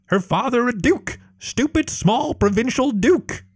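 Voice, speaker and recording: A man's voice: extremely high pitch, animated, unhurried, slightly rough, dry recording, clean studio sound, pitched above the performer's own voice, exaggerated voice. A man voicing a timid female kobold leader who speaks in broken, cringing sentences. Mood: timid, pleading.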